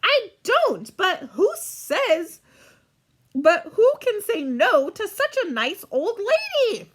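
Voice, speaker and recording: A woman's voice: very high voice, sing-song, takes it slowly, fairly bright tone, voice pushed up, heavily acted. A woman voicing a bossy, argumentative little fairy-tale girl, indignant and cheeky, in a storybook reading.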